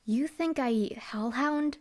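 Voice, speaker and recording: A woman's voice: high-pitched, takes it slowly, darkish tone. Young female hellhound bodyguard, dry, teasing, laid-back, voiced by a woman, audio roleplay. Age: young adult.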